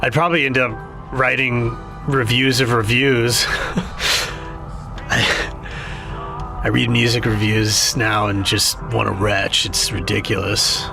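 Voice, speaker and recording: An actor playing a jaded, guarded, grieving younger brother in an audio drama, speaking haltingly and self-deprecatingly. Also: male voice, mid-range voice, flat delivery, creaky, music in the background. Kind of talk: naturalistic audio drama dialogue.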